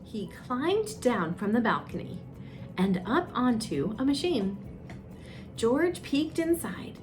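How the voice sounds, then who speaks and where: A woman's voice: a little high, big pitch swings, takes it slowly, background noise. A woman reading a children's storybook aloud as a story time host, expressively, drawing out suspenseful phrases.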